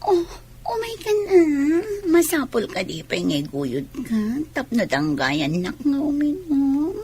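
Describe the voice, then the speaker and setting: High-voiced scheming seductive woman. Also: wildly swooping pitch, takes it slowly, warm tone, exaggerated voice. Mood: seductive, taunting. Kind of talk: Filipino radio drama acting. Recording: radio drama with audible background noise.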